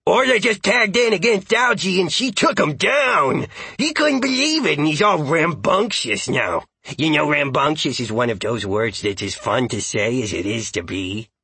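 Man voicing an excitable, bright, raspy talking dog. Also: fairly low pitch, moderate pace, few pauses, no echo. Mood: excited, playful. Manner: chatty, goofy, exuberant. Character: excitable, playful male dog narrating his adventures. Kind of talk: first-person audiobook narration.

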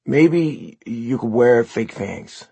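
Man voicing blunt teasing bandmate, pitched up. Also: expressive, drawn-out words, vocal fry, dark tone, breathy edge, heavily acted. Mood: wry. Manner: blunt, slangy.

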